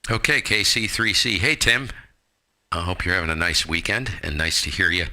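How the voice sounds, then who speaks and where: A deep-voiced American man with a very bright, forceful edge. He talks like a ham radio host, breezy and salty, tossing in slang as he chats. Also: lively intonation, moderate pace. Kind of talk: casual amateur radio chat.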